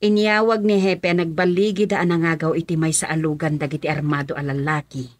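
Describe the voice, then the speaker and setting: An actress with a Filipino accent playing a concerned, inquisitive wife at home in a radio drama. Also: female voice, low voice, flat delivery, a little fast, no echo. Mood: conversational. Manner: questioning.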